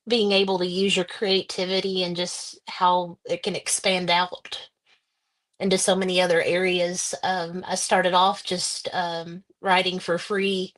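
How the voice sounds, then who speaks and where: Flat-voiced woman guest, halting answers. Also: lowish voice. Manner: thoughtful, halting, many ums and uhs. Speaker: guest author. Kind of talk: interview answers.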